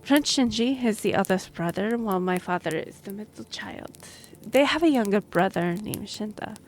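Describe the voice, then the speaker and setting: A woman's voice: medium pitch, lively intonation, moderate pace, a little hiss or hum, close to an everyday voice. A woman playing an earnest young half-elf noblewoman and royal envoy in a fantasy roleplay audio drama, speaking formally with some awkward hesitation. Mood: measured, explanatory. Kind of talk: fantasy roleplay audio acting, addressing the listener.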